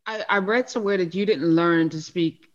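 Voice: mid-range voice, fairly quick, lo-fi sound. An interviewer asking questions casually, stammering a little at the start.

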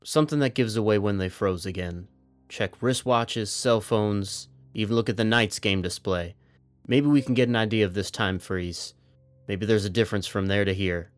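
Man acting a low-voiced, calm stranded survivor. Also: normal speed, soft background music, lightly acted. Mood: practical, focused. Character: stranded man trapped in frozen time, calm, practical. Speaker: voice actor in an audio drama. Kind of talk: audio drama dialogue.

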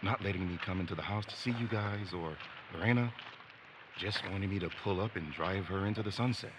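Guy acting as young dude in audio drama, hesitant, conflicted, low voice, really loud background noise. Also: a little fast, close to an everyday voice. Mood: wistful, reflective. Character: young man missing his vanished girlfriend, self-deprecating, conflicted. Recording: loud outdoor ambience noise.

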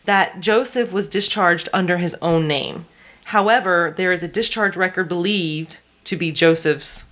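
A woman's voice: lowish voice, a little slow, a bit bright. A woman co-hosting a podcast, telling history in an informal, conversational way and explaining it step by step. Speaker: podcast co-host narrating history. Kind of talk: conversational true history storytelling.

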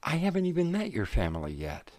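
A frustrated young fiancé. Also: male voice, on the high side, wildly swooping pitch, quick pace, darkish tone, dry recording. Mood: pleading. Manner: pleading, increasingly exasperated. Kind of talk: audio drama acting.

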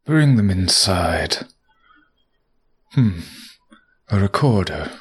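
A sinister professor leading a cult played by a man in an audio drama. He is calm and commanding, speaking slowly in a heavily husky, rough voice. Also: low-pitched, full character voice. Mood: calm menace.

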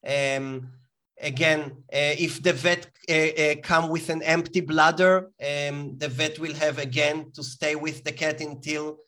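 A man from Israel speaks with an Israeli accent in a slightly higher-than-usual voice. As an interview guest, he explains things patiently, with fillers and restarts along the way. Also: level tone, a little slow, bright, forceful tone. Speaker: guest, company founder. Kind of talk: interview answers.

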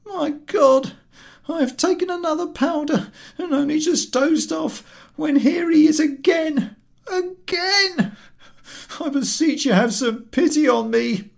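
A man's voice: very high voice, slow, creaky, voice pushed up, heavily acted. A man voicing a frail, tormented, self-pitying sick passenger in a storybook reading, pleading and gasping melodramatically. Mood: anguished pleading.

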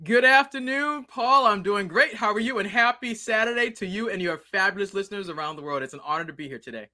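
A woman's voice: medium pitch, fast, pushed and bright. A woman from Boston, a guest in an interview, telling her story in a playful, chatty way. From Boston, USA. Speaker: guest expert.

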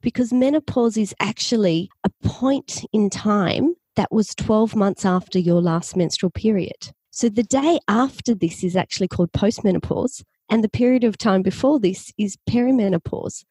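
A woman with an Australian accent, a naturopath guest who explains things clearly and patiently in an interview. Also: medium pitch, normal speed, few pauses, warm tone, dry recording.

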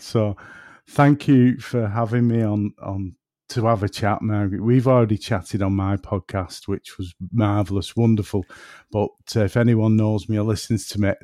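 Man, northern English accent, lowish voice, rambling casual chat, dry close sound. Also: warm tone, dry recording. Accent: northern English, between Manchester and Liverpool. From North West England. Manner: rambling, self-deprecating, drifts off topic. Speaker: podcast guest.

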